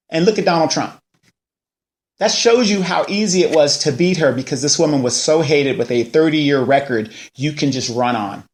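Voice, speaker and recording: A man in his forties hosting a local news show, ranting in a casual way and firing off rhetorical questions. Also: a little high. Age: 40s. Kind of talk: casual political commentary.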